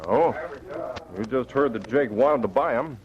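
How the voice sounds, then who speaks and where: A man's voice: medium pitch, a little slow, lightly acted. Stubborn rustic prospector played by a man. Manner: folksy rustic speech. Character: plain-spoken, stubborn male frontier prospector. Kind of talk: old-time radio drama acting. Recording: muffled old radio recording.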